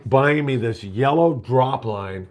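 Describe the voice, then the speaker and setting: A man's voice: medium pitch, takes it slowly, a little mellow. A middle-aged man hosting a live show, telling stories in a casual, chatty way and reading out viewer questions. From United States. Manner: chatty storyteller, reads viewer questions aloud. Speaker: show host. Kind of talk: casual live-stream chat.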